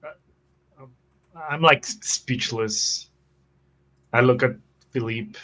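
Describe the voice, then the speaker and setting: A man's voice: medium pitch, slow, pushed and bright. A man improvising in character as a tabletop roleplay player, with polite, formal phrasing.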